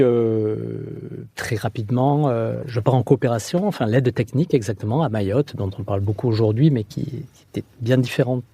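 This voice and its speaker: A man's voice: medium pitch, creaky, mellow, slightly rough, no echo. A middle-aged man from the southwest, an architect answering interview questions hesitantly, with many fillers and restarts. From France. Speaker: architect guest.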